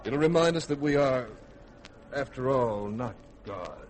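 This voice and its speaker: An actor playing a grave, steadfast spaceship commander in a 1950s radio drama, solemn and measured. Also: male voice, medium pitch, expressive, natural voice. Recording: noisy vintage radio recording.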